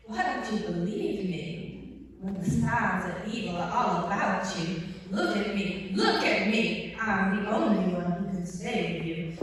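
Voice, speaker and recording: A woman's voice: mid-range voice, close to an everyday voice. A woman performs a desperate female would-be savior in a dramatic stage monologue. The delivery is urgent and imploring, with repeated pleas. It is heard from off in a long, echoing hall with faint noise. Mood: pleading, measured. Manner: urgent, pleading, repeats phrases. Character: desperate, frantic would-be savior pleading to be believed. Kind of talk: dramatic monologue read at a workshop. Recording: very echoey hall, distant mic, faint noise.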